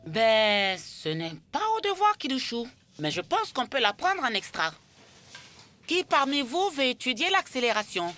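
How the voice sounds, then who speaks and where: An encouraging cartoon teacher with a fairly high voice. Her pitch moves around in a lively way as she explains to her class. Faint music sits behind her. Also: measured pace. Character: encouraging school teacher ending a physics class. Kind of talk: cartoon dialogue.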